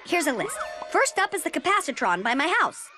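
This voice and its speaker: Very high, spunky girl bandicoot. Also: sing-song, brisk. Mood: eager, bossy. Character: spunky teenage girl bandicoot inventor, bossy and excitable. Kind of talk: animated game cutscene acting. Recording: music behind the voice.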